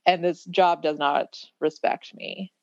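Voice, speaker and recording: A woman's voice: deep voice, fairly even intonation, slow, a little mellow, no echo, lo-fi sound. A woman of about thirty talking reflectively in a casual podcast conversation, building her points through repeated phrases. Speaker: podcast guest.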